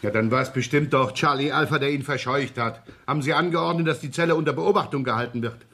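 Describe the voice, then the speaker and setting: An actor playing a gruff, sarcastic, irreverent police inspector in a radio crime drama. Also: male voice, mid-range voice, fast, sharp tone. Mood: businesslike. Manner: grumbling, blunt, dry sarcasm. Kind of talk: 1970s German radio crime drama acting.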